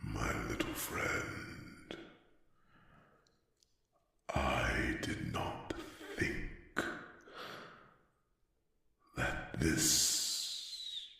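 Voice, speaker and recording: A vast, wise old-world dragon for a fantasy audio roleplay. His voice is an abyssally low, creaking rumble with breath in it, delivered gravely and haltingly. It rings out through a huge cavern of echo. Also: pushed and bright, heavily acted. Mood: grim, regretful. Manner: slow, grave, halting, with long weighty pauses. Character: huge solemn male dragon, wise war leader and mentor. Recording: cavernous echo and long reverb, distant.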